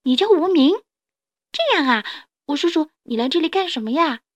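A little dinosaur boy from a storybook, played by a woman, with a high, childlike voice. He is sweet and eager, quick to plead and exclaim, and close to tears at any time. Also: lively intonation. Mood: curious. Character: eager, tearful baby Spinosaurus boy, sweet and grateful.

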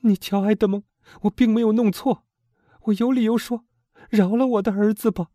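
A man voicing a desperate middle-aged noble mother, pleading in a strained, high falsetto. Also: steady tone, moderate pace, dark tone, no echo, clean studio sound, close to an everyday voice.

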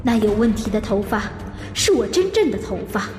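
An actress playing a troubled woman seeking revenge, narrating an audio drama in a deliberate, introspective way. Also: medium pitch, sing-song, music in the background, lightly acted. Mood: secretive, reflective.

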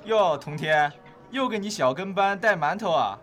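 Sneering, high-ish young male bully acted by a man. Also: lively intonation, moderate pace, a bit bright, soft background music. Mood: mocking. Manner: taunting, swaggering. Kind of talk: amateur radio drama acting.

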